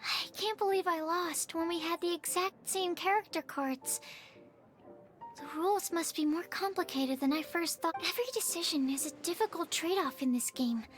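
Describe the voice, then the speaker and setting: A woman's voice: extremely high pitch, moderate pace. A woman voicing a shy, earnest young female alchemist in an anime game, speaking in an apologetic, hesitant way. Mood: downcast, apologetic. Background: game music.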